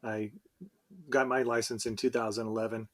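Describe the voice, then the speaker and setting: A man's voice: fairly low pitch, flat delivery, moderate pace. Guy hosting a podcast, friendly and a bit rambly, lots of restarts. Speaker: podcast host. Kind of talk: podcast interview.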